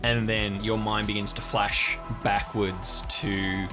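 Brief: male game master, low voice, vivid descriptive narration of a tabletop adventure, music clearly behind. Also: level tone, slow. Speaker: game master of an improvised audio drama.

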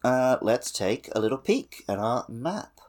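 A man's voice: mid-range voice, sing-song, measured pace, a little mellow. A man chatting casually about a video game as a podcast co-host.

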